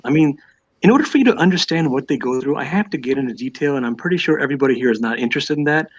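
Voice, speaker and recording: A man talks quickly and insistently over a muffled, lo-fi call line. He repeats himself as he presses his point in a heated debate. His pitch sits in a middle range. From United States. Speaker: caller. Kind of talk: heated live-stream debate.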